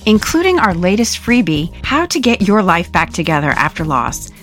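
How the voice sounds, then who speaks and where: A woman, the podcast host, reading a scripted outro in a measured way. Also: fairly low pitch, big pitch swings, fairly bright tone, faint music behind. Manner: measured, read announcement.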